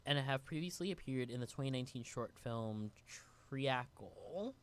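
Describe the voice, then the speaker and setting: A man's voice: mid-range voice, normal speed. A man raised in New York co-hosting a podcast and chatting loosely through a guest's background, with lots of filler words. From United States (raised in New York). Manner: relaxed, rambling, many "like" fillers. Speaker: podcast co-host and actor. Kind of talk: casual chat, reading guest bio.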